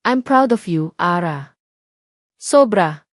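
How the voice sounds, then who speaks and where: A woman's voice: on the low side, lively intonation, takes it slowly, dry recording. An earnest man in a romantic audio drama, acted out by a woman. He is remorseful and in love, speaking sincerely. The sound is close and dry.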